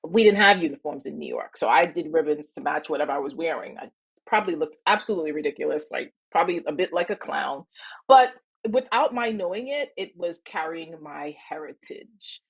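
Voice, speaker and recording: A woman's voice: low-pitched, a little fast, vocal fry, breathy edge, lo-fi sound. A woman from Trinidad, an attorney guest on a podcast, telling reflective stories with laughter. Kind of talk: podcast interview.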